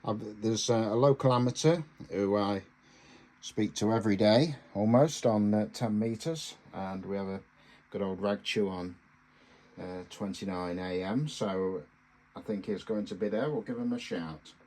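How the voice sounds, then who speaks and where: A man giving a casual hands-on demonstration as a radio hobbyist host, speaking in a halting, hesitant way with pauses. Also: on the low side, darkish tone, slight background noise.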